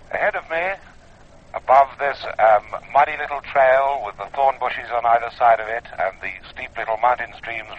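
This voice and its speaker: Man reporting, dull vintage radio sound. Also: medium pitch. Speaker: expedition reporter. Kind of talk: descriptive running commentary, vintage radio. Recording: dull old radio field recording.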